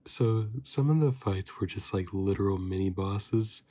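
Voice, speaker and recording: A man giving a softly spoken ASMR review, hushed and sometimes slipping into whispers. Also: low voice, unhurried, no room sound. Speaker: host of an ASMR review channel.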